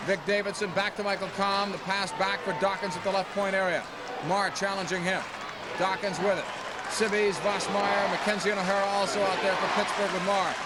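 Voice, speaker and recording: Male play-by-play announcer, high voice, clipped running calls, very noisy broadcast with loud crowd. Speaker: play-by-play commentator. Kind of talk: live indoor soccer play-by-play commentary. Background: roaring arena crowd.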